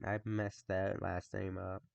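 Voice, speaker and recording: A man's voice: sits low, flat delivery, slow, gravelly. A man hosting a reaction video, reading text aloud and adding casual, sweary muttered asides. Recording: dull, noisy home recording.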